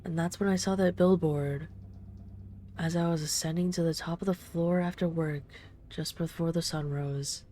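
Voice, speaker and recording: A woman playing an ageless vampire in an intimate audio roleplay, speaking softly and without hurry, tender and wistful with a gently condescending, commanding edge. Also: deep voice, steady tone, moderate pace, slight background noise, close to an everyday voice. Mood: wistful, reflective.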